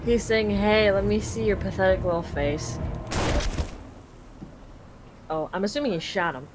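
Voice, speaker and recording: A woman's voice: mid-range voice, a little fast, over music. A young adult woman from Canada casually translating a game live, reading lines aloud and paraphrasing informally. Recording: clearly noisy home recording.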